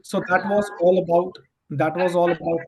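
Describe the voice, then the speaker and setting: Man, fairly high-pitched voice, webinar host, explanatory, polite, halting restarts. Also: steady tone, a little mellow, breathy edge, rough recording. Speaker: immigration adviser hosting a webinar. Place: online webinar call.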